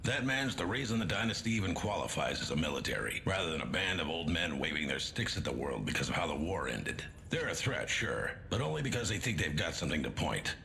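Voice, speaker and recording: A gruff video game colonel with a low, gravelly voice. He is blunt, wary and commanding, with forceful delivery over some rumbling noise. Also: very animated, quick pace, few pauses, exaggerated voice. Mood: wary, stern. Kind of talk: scripted video game briefing.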